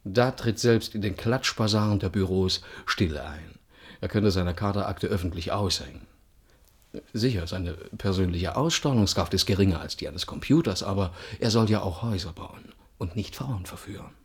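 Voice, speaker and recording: A sardonic office man gossips in a fairly low, husky voice. His phrases are clipped, dry and mocking, in the style of a radio play. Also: moderate pace. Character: cynical, sardonic male office colleague.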